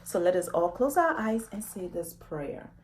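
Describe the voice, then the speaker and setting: A woman's voice: fairly low pitch, expressive, normal speed, a little mellow. A woman reading her children's book aloud in a dramatic storytime style, often putting questions to young listeners.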